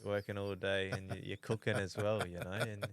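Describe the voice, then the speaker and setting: A man from New Zealand, in his thirties, chatting on a podcast in an easygoing, halting way with lots of restarts. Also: fairly low pitch, level tone, no echo. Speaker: podcast co-host. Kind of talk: casual chat.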